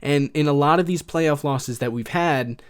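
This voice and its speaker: A man's voice: fairly high pitch, moderate pace, clear, resonant tone. A man co-hosting a sports podcast, talking casually and making his case conversationally.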